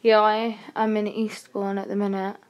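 A woman's voice: medium pitch, level tone, moderate pace, lo-fi sound. A young woman with a nervous, whispery manner, chatting casually while exploring an empty house on a phone live stream. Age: young adult. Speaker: urban explorer vlogger. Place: abandoned house indoors. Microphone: phone held at arm's length.

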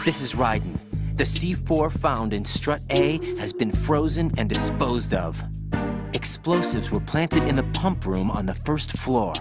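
A man's voice: on the high side, expressive, a little slow, gravelly, over loud music. A man voicing an earnest, questioning young special forces agent in a video game. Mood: urgent. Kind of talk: video game voice acting over radio call.

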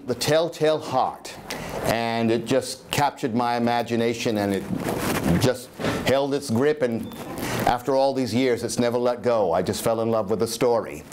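A man, giving a halting, reflective spoken introduction to a live reading. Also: medium pitch, darkish tone. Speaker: dramatic reader. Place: small dim room in a historic house with seated audience. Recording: noisy live room recording.

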